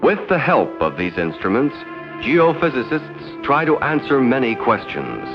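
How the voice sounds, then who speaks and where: A muffled 1960s film soundtrack with music behind the voice carries an American man's narration. He speaks formally and with measured authority, in the manner of an educational film. His mid-pitched voice moves expressively up and down. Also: huge pitch swings. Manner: formal, measured, no-nonsense delivery.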